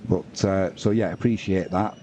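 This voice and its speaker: A man with a lowish, mellow voice commentates live on rugby league for a stream. He calls the play as it happens and chats to viewers in an informal, matey way. There is some noise behind his voice. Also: normal speed. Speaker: sports livestream commentator and host.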